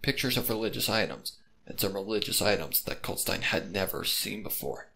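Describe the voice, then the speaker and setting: A man's voice: lowish voice, animated, brisk, creaky, pushed and bright. A man reading a story aloud as a narrator, with dramatic scripted delivery. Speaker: fan fiction narrator.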